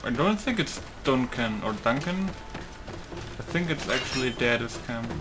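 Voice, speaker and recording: A man's voice: medium pitch, lively intonation, moderate pace. A man giving casual, sweary, off-the-cuff let's play commentary. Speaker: gaming streamer. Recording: loud game music under the voice. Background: loud game music.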